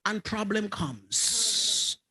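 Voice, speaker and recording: High-voiced, lively, bright preaching bishop. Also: male voice, drawn-out words, poor audio. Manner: preaching with rising fervour, repeating phrases. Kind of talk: sermon.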